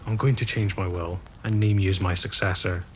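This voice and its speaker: An actor playing a weary, disillusioned former army officer in a period audio drama, speaking in a measured, grave way. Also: male voice, low-pitched, fairly even intonation, slight background noise, lightly acted. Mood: earnest, wistful.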